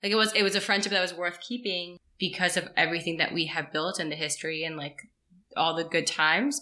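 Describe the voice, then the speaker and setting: Woman from Israel, podcast co-host, bright clear tone, slightly low pitch, chatty storyteller. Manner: chatty, storytelling, many fillers. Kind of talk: casual chat.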